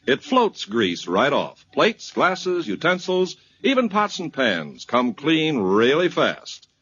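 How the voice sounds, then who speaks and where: A man's voice: a little high, wildly swooping pitch, slow, fairly bright tone. A man announcing a 1950s radio program and reading a sponsor's ad in an emphatic, sing-song salesman delivery. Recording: muffled 1950s radio broadcast with music.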